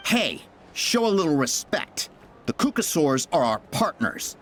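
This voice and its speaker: Animated, indignant young squad member. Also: male voice, a little high, unhurried, a little hiss or hum. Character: indignant, loyal flying-squad member defending beast partners. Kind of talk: video game voice acting.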